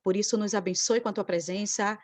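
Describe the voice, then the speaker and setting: A woman's voice: medium pitch, fairly even intonation, brisk, no echo. Brazilian woman praying, dry close sound. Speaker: church group member leading prayer. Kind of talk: devotional spoken prayer.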